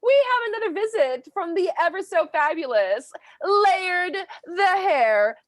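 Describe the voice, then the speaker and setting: A woman's voice: extremely high pitch, animated, measured pace, dry recording. A woman playing an effusive, sassy talk show host in improvised comedy.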